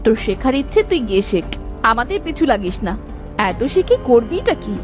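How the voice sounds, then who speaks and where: A woman voicing a cocky, dismissive young elder sister in an animated fairy tale. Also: on the high side, a little fast, over music.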